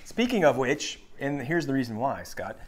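A man's voice: mid-range voice, sing-song, normal speed, crowd noise. Een man van in de dertig die als presentator losjes en uitleggerig over gereedschap praat, met een dasspeldmicrofoon in een werkplaats. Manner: chatty and explanatory, with restarts and laughs. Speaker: co-host of a woodworking live show. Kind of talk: casual live-stream chat. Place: home woodworking shop, indoors. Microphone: lapel mic.

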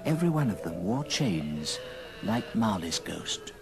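A man narrating a story in an old radio drama, measured and theatrical. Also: on the low side, very animated. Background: music.

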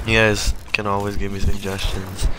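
A man with a hoarse, strained voice that keeps cracking, clearing his throat often as he talks casually over gameplay footage. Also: lowish voice, flat delivery, moderate pace, noisy background. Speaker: gaming channel host.

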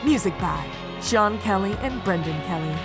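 A woman's voice: medium pitch, lively intonation, normal speed. A woman presenter reading scripted credits for an audio drama. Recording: music under the voice.

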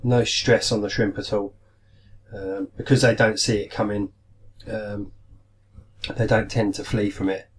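A man's voice: on the low side, level tone, measured pace, long pauses. A man in his thirties with a cold coming on, casually reviewing an aquarium product, hesitant and full of ums. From United Kingdom. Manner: hesitant, many ums and restarts. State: getting a cold, voice going slightly. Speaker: aquarium hobby vlogger. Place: small room indoors at a desk. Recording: distant mic, faint room noise.

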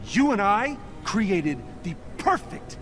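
Bitter, animated scientist. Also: male voice, high-pitched, takes it slowly, faint music behind, heavily acted. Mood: bitter, warning. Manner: tense, accusatory, intense. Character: bitter, guilt-ridden male scientist, middle-aged. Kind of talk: cinematic video game acting.

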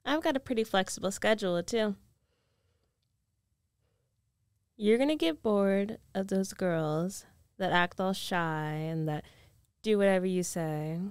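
A woman's voice: mid-range voice, a little slow, darkish tone, smooth, dry recording, clean studio sound, natural voice. A woman playing a rich, possessive ex-girlfriend in an intimate ASMR roleplay, coaxing and self-assured with a condescending edge. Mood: smug coaxing. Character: rich, domineering, possessive young ex-girlfriend coaxing her lover back. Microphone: close microphone, dry.

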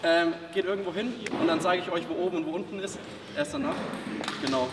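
A man's voice: high voice, expressive, fast talker, background noise. A young man, a table tennis trainer, explaining drills and plans to his players in an informal way. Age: young adult. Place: large sports hall. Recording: roomy hall audio from a distance.

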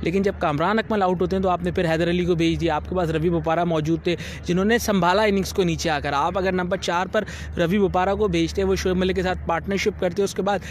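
A young man with a fairly high voice breaks down a cricket match at a brisk clip. He sounds fluent and assured, speaking into a handheld reporter's mic outdoors beside a stadium with faint outdoor noise behind him. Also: fairly even intonation. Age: young adult. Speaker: sports analyst. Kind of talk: cricket analysis interview.

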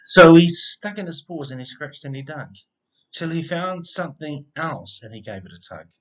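A middle-aged man reading a rhyming children's picture book aloud in an expressive, measured storytelling manner. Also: fairly high pitch. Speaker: storybook reader, educator. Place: indoor room. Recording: slightly dull, lo-fi webcam sound.

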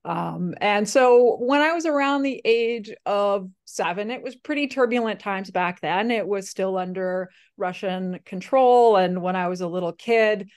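A woman's voice: mid-range voice, unhurried, hardly any pauses, clear, resonant tone. A woman from Slovakia, a native Slovak speaker, telling her story as a podcast guest in a chatty way, with many fillers and restarts. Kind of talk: casual storytelling interview.

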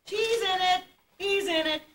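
A woman voicing an excitable, childlike patchwork rag doll in an amateur puppet show, singsong and eager. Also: extremely high pitch, a little slow. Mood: gleeful. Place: live puppet show at a convention. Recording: noisy distant camcorder, loud audience.

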